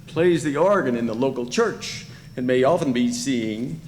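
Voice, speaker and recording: A man narrates a story at medium pitch with very lively intonation. His voice carries long reverb, with faint noise behind it. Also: normal speed.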